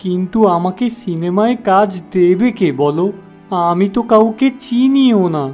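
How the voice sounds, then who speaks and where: A man's voice: sits high, unhurried, darkish tone. A man playing a gullible, starry-eyed young village shopkeeper in a cartoon, naive, eager and trusting. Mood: modest. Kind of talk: cartoon moral-story dialogue. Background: background music.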